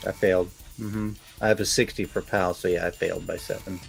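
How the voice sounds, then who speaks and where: A man's voice: low-pitched, little pitch movement, a little fast, gravelly, faint music behind. A man chatting casually as a tabletop game player, describing what his character does.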